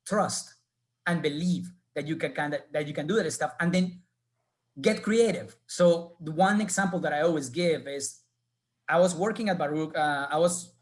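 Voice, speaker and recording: A man's voice: on the high side, moderate pace. A man from Colombia with a Colombian Spanish accent, speaking earnestly as an alumnus on a webinar panel.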